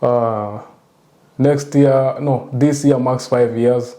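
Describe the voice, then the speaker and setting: A young man, a soldier answering interview questions calmly in short replies. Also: medium pitch, slow. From raised in Kenya, born in Rwanda. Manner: brief, calm answers. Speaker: guest, US Army soldier.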